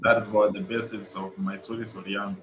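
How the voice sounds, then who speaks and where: A young Kenyan man whose first language is Swahili, earnestly explaining his organization's programs over a video call, with frequent "uh" pauses. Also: fairly low pitch, flat delivery, a bit bright, roomy sound, slight background noise, rough recording. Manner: earnest, many "uh" pauses, repeats phrases. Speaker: guest, mental health organization co-founder.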